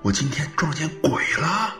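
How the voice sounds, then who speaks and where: A man's voice: on the low side, animated, normal speed, pushed and bright, faint music behind. A man playing a nervous, evasive but dutiful son in a radio drama, speaking in a hesitant, flustered way. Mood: shaken, bewildered.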